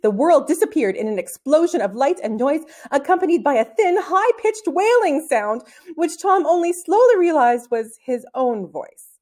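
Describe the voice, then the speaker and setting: A woman reads a children's story aloud in a fairly high voice. Her pitch moves widely and expressively, in the animated manner of a storyteller acting out a book.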